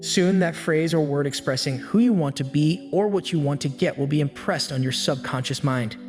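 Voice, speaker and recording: A man giving scripted self-help narration with an even, steady storytelling delivery. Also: fairly high pitch, moderate pace. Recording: long hall reverb with faint music. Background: faint background music.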